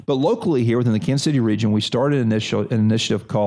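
Seminary leader, forty, gravelly voice, earnest and repetitive, mid-pitched. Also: male voice, very fast, warm tone. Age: 40s. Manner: earnest, repetitive, preacherly phrasing. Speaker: seminary president. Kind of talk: interview answers.

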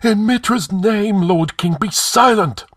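A man voicing a trembling, deferential high priest, urgent and secretive, in a fantasy audiobook. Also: takes it slowly, fairly bright tone, dry recording, clean studio sound, full character voice. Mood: alarmed.

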